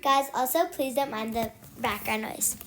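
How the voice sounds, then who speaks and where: A child reading a children's picture book aloud, haltingly, repeating and correcting words along the way. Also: medium pitch, heavy background noise. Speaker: amateur read-aloud reader.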